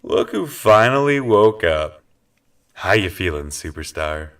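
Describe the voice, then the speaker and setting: Radio comedy: needling cellmate, lowish voice with swinging intonation, a bit off-mic. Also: male voice, big pitch swings, a little slow, fairly bright tone, distant mic, a little hiss or hum, full character voice. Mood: sarcastic. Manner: dry sarcasm, mocking. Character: sarcastic, needling fellow captive. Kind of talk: comedy radio play acting.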